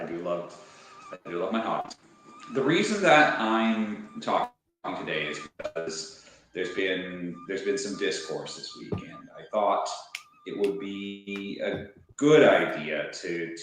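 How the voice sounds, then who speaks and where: A Canadian man talking to camera in his own video, halting and repeating words. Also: sits low, fairly bright tone. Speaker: video essayist. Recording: very echoey room with music under the voice.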